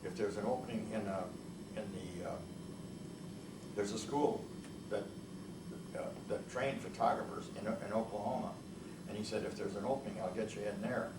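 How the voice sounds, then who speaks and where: A man's voice: lowish voice, moderate pace, pauses often. An elderly man who spoke Italian at home as a child, recounting his past in an oral history interview, rambling and hesitant with many restarts. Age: older. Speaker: veteran interviewee. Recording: echoey room, mic far off, noisy.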